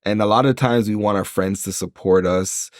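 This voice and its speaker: A man from Jamaica talks as a podcast guest in a fairly low voice. He sounds earnest and motivational, circling back with fillers and restarts. The recording is dry and close. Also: moderate pace, no echo. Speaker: entrepreneur guest. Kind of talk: casual interview talk.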